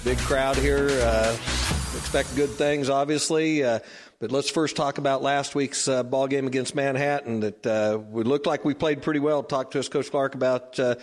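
A radio sports host opening a pregame coaches show casually, with many "uh" fillers. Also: male voice, mid-range voice, steady tone, moderate pace, long reverb tail, faint music behind.

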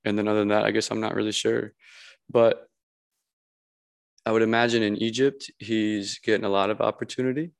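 A man's voice: lowish voice, level tone, a little fast, gravelly, long pauses, smooth. A young man hosting a podcast, talking casually and hesitantly with many fillers. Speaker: podcast host. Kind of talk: casual conversation.

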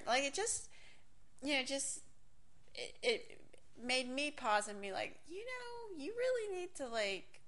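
A woman's voice: mid-range voice, sharp tone. A woman in her forties, raised in Miami, talks through a personal story in a loose, candid monologue. She interrupts herself, circles back, swears casually and laughs often. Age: 40s.

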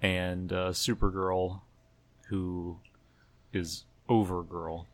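A man's voice: low-pitched, level tone, very slow, no room sound. A man hosting a podcast, chatting casually and conversationally as he recaps TV episodes. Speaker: podcast host. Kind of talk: casual chat about TV episodes.

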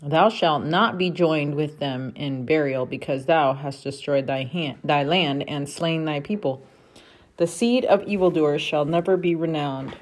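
A woman reads scripture aloud for her family in a low-pitched voice. She keeps a steady, careful read-aloud pace and stops briefly to correct a word. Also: a little slow. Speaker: family member reading scripture.

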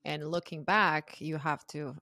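A woman in her thirties, from Croatia, chatting as a podcast guest. She speaks openly and reflectively and laughs easily. Her voice is very low for a woman, recorded dry and close. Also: steady tone, unhurried, bright, forceful tone, no room sound. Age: 30s. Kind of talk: casual interview chat.

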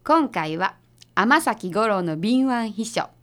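A woman playing a bossy, prickly detective's assistant in a radio drama, brisk and huffy, with a Kansai accent. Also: medium pitch, expressive, a bit bright. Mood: grudgingly confident.

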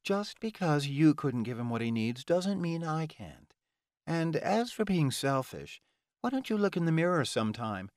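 A man's voice: fairly high pitch, sing-song, moderate pace, darkish tone, no room sound, clean studio sound, pitched above the performer's own voice. A man voicing a defiant, sarcastic young heroine in an audiobook, challenging whoever she talks to. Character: feisty, defiant mortal woman in love.

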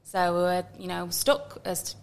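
A woman's voice: on the low side, creaky, a little hiss or hum. A woman from Manchester with a Northern English accent, chatting as a podcast guest in a bubbly, talkative way. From Manchester, England. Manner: chatty, bubbly, quick asides. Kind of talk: casual interview chat.

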